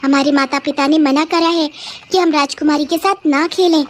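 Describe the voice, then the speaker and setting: A woman's voice: high voice, fairly even intonation, normal speed, pitched above the performer's own voice, close to an everyday voice. A woman voicing a taunting young girl who jeers at a playmate in a cartoon moral story. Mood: spiteful. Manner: jeering, exclamatory.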